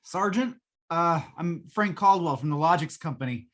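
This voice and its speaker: A woman's voice: on the low side, animated, moderate pace, faint music behind, close to an everyday voice. A woman playing a defensive, hesitant company technician, a man, in old-time radio style. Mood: defensive, flustered.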